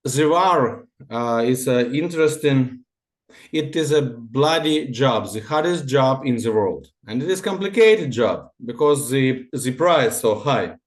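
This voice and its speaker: Halting man. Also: medium pitch, animated, echoey room. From Russia-born, Ukrainian. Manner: halting, searching for words. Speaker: guest. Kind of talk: casual Q&A answers.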